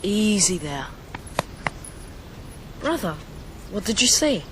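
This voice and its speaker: A man's voice: sits high, big pitch swings, slow pace, very noisy, exaggerated voice. A male performer playing a polite, proud young boy of noble birth in a radio drama, formal and courteous in speech. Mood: anxious.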